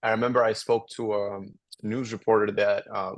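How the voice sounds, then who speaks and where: Low-voiced young man from Albania. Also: level tone, normal speed, no echo. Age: young adult. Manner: rambling, many restarts and fillers. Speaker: podcast guest, incoming law student. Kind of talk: casual interview answers.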